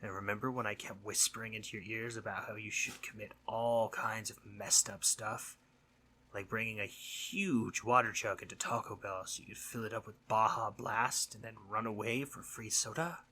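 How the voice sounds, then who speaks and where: A man playing a mischievous but concerned sleep demon in a roleplay audio monologue, husky and conversational, earnest and teasing, sometimes dropping to breathy near-whispers. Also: fairly low pitch, fairly even intonation, normal speed, pushed and bright, a little hiss or hum. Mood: playful, nostalgic.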